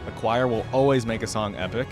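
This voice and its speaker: Male reaction host, low register, casual commentary, music playing clearly behind. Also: a little fast.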